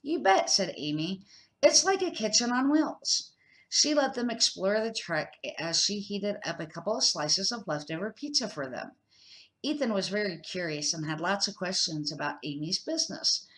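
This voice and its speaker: A woman's voice: lowish voice, lively intonation, normal speed, a bit bright. Older woman reading a kids' storybook. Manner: unpolished reading with small slips and restarts. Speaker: storybook reader. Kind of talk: reading a children's book aloud.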